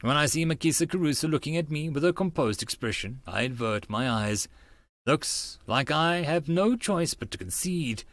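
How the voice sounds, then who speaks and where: Man playing a proud, skeptical young man, animated. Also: fairly high pitch, moderate pace, dry recording, clean studio sound. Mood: grudging, mortified. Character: proud, skeptical, easily flustered young man, inner monologue.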